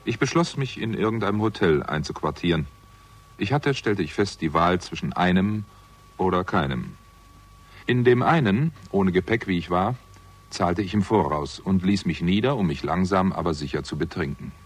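An actor playing a jaded, hard-drinking theatrical agent with a conscience, sardonic and world-weary, in a 1960s German radio crime drama. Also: male voice, low-pitched, animated, normal speed, husky. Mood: wry narration.

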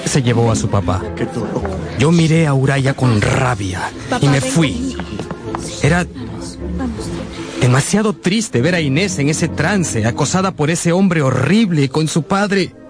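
A heartfelt male narrator of a radio drama, recalling his youth. His voice is fairly high and widely varied in pitch, telling the story expressively over music. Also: sing-song, a little slow, pauses often. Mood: reflective, troubled. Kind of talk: scripted first-person radio drama narration.

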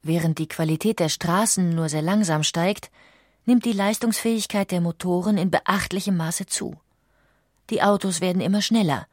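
A woman reading scripted documentary narration in a measured, articulate way. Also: lowish voice, dry recording, studio quality.

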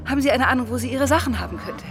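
An actress playing a composed, probing police detective who narrates and talks through the case in a measured, matter-of-fact way in a crime radio play. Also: female voice, high-pitched, noisy background. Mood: businesslike. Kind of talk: German crime radio play, dialogue and first-person narration.

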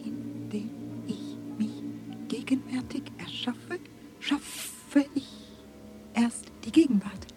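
An actress playing a serene, superior alien authority in a German radio drama, speaking in an aloof, deliberate way. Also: female voice, a little high, loud music behind the voice. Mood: hushed, reflective.

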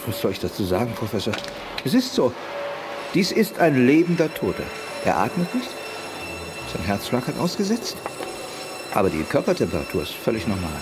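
A baffled, insistent male doctor in a science fiction radio play. His medium-pitched voice swings wildly, with clear noise behind it. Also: huge pitch swings, normal speed, pauses often. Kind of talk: 1980s German audio drama acting.

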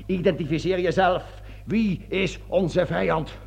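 A man's voice: high voice, fairly even intonation, unhurried, gravelly, a crowd in the background. A man acting a panicking, cowardly, self-justifying army colonel in a radio drama, blustering, stammering and pleading as he repeats himself. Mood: indignant. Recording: dull lo-fi old radio recording.

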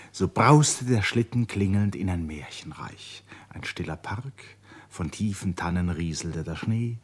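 An actor playing the solemn narrator of a literary radio play, husky-voiced, with measured, dramatic delivery. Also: male voice, deep voice. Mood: emphatic, then hushed. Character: solemn male narrator of a Russian family saga.

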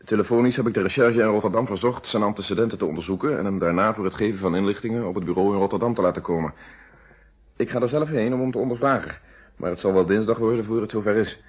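An actor in a Dutch radio play playing a courteous, methodical police inspector with formal, deliberate questioning. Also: male voice, on the low side, husky. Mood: explaining. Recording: dull, band-limited old radio recording.

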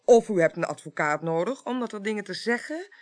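An actress plays a senior parliamentary official in a radio crime drama. Her voice is medium in pitch. She speaks with measured precision and dry logic. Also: lively intonation, darkish tone, lightly acted. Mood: dry, pointed.

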